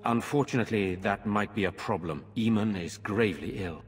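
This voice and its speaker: An actor playing a grave, courteous noble lord in a fantasy game, speaking formally and earnestly in a reverberant stone hall. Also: male voice, on the low side, brisk, faint music behind, lightly acted. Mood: grave, urgent. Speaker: game character. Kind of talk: dramatic fantasy game acting.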